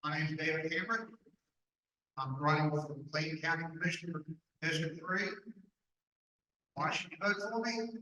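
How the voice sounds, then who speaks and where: A man with a high, bright voice makes an earnest, personal campaign speech. He sounds distant in a reverberant meeting hall, with faint background noise. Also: normal speed, long pauses. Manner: earnest, personal, speaks from his own life. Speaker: county commission candidate. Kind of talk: campaign speech at a candidate forum. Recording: echoey hall, distant mic.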